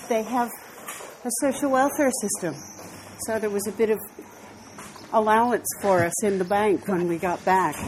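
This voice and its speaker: A woman's voice: medium pitch, background noise. An older American woman, a guest walking artist, chatting in a meandering way while walking outdoors through city streets. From United States. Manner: chatty, meandering, laughs easily. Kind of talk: casual walking interview.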